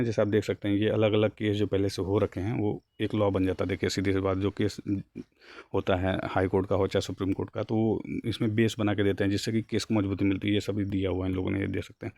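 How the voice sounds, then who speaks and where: A man's voice: fairly low pitch, dark tone, dry recording. A man giving an informal, colloquial explainer, mixing in English terms as he reads out and paraphrases a document. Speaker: job news YouTuber.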